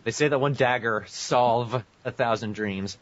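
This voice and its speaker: A man's voice: medium pitch, a little slow. A man co-hosting a casual podcast, jokingly reading aloud with mock drama and prone to breaking into laughter. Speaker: podcast co-host. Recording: muffled lo-fi podcast audio.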